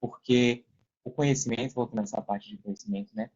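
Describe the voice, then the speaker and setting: Brazilian man, mid pitch, dull lo-fi audio. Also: big pitch swings, a little fast, vocal fry, a little mellow. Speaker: podcast guest, affiliate marketer. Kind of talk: casual, explanatory interview talk. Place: online video call.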